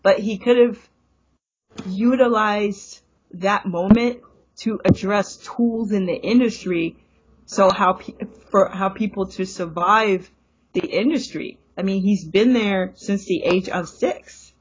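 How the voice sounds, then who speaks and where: A woman co-hosting a podcast, giving measured, analytical opinions in casual conversation. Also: medium pitch, slightly rough. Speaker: podcast co-host. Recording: dull lo-fi recording.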